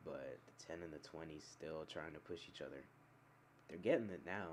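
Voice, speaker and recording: A man giving play-by-play commentary on an online sim racing event, calling the on-track action quickly and correcting himself as he goes. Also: very deep voice, slight background noise. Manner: rapid calls of on-track action, talking himself through corrections. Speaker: race commentator.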